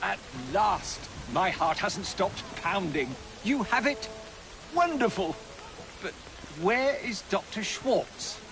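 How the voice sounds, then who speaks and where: An actor playing an earnest, courtly elderly Victorian gentleman scientist with an English accent, speaking formally and theatrically in a game cutscene. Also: high voice, animated, takes it slowly, creaky, full character voice. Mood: relieved, excited. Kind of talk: dramatic game cutscene acting. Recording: game audio under loud music.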